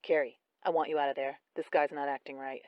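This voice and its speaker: A man's voice: on the high side, flat delivery, fairly quick, clean tone, no echo, muffled recording, pitched below the performer's own voice. A worried man talking through an earpiece, put on by a female narrator. He speaks in short, uneasy remarks that sound a little distant. The recording is otherwise dry. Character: male producer on an earpiece, worried.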